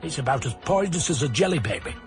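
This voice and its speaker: Wry rogue narrator for radio comedy, animated, digressive, sardonic, music underneath. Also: male voice, fairly high pitch, fast talker. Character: wry Czech rogue looking back on the war. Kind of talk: comic storytelling narration in a radio play.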